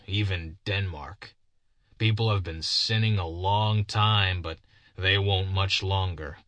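A man's voice: deep voice, no echo. A man voicing a haggard, simple-minded man who earnestly preaches doom and prays in biblical cadence.

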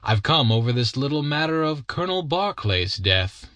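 Man doing a cool confident detective, crisp and sure of himself. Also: big pitch swings, fairly bright tone, no echo. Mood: assured. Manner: crisp, assured, quicker and higher than the narration. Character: brisk, confident male detective, sharp and composed. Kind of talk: audiobook character reading.

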